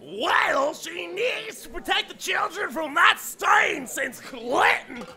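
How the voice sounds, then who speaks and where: A man playing a cranky, loud old small-town mechanic with a gravelly, strained voice and blustering, folksy manner in audio theater. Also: extremely high pitch, animated, takes it slowly, exaggerated voice. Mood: indignant. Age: older.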